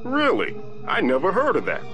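An actor voicing an irritable, sarcastic magical talking mask in a cartoon game, wry and exasperated. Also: male voice, high voice, huge pitch swings, moderate pace. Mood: concerned, animated. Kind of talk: cartoon video game acting. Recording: loud music behind the voice.

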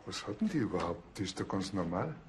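A man's voice: sits low, big pitch swings, fast talker, noisy background. An actor playing a blunt, cheeky small-town policeman in a TV crime comedy. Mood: blunt, casual.

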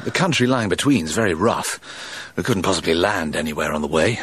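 An actor playing a self-possessed, adventurous colonial gentleman aviator in naturalistic radio drama dialogue, laconic and understated. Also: sits low, animated, brisk, breathy edge, no echo, exaggerated voice. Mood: eager.

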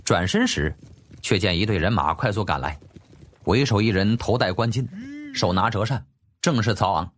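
一位男演播者以戏剧化的方式讲述历史小说，是照稿演播的有声书旁白。. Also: male voice, lowish voice, lively intonation, normal speed. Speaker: audiobook narrator. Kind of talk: dramatic scripted narration of a historical novel.

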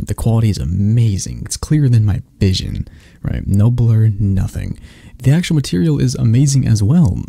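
A man's voice: on the low side, creaky, mellow, no room sound, studio quality. A man casually presenting a sponsor read, informal and chatty in his phrasing. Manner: informal, tags phrases with "right?". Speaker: ASMR creator presenting a sponsor.

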